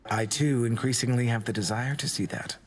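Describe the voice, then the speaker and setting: Man playing courteous god, soft-spoken, polite, middle pitch. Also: brisk, a little hiss or hum, natural voice. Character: courtly male god of doom, gentle and polite. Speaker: game character.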